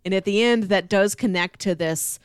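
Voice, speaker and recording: A woman's voice: mid-range voice, moderate pace, clear, resonant tone, no echo, studio quality. A woman in casual podcast discussion, conversational, slipping into acted-out quotes as she explains. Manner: conversational, acts out quoted lines.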